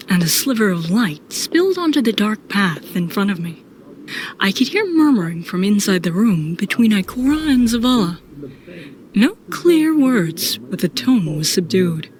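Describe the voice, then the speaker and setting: A woman's voice: medium pitch, big pitch swings, moderate pace, a little hiss or hum. A woman reading scripted first-person narration for an audio drama.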